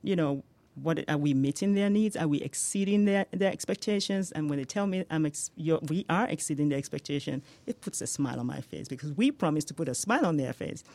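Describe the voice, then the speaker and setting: A woman's voice: deep voice, animated, a little fast, dry recording. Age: unknown. Sex: woman. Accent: Nigerian. Role: business owner in a radio interview. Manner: laughs often, lots of fillers. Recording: dry. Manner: warm, laughing often, many "you know" fillers.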